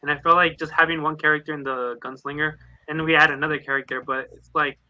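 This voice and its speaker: A man's voice: a little high, fairly even intonation, quick pace, dry recording, lo-fi sound. A man in a casual book discussion, rambling along and voicing quotes as he tells anecdotes. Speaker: read-along panelist. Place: online live video chat.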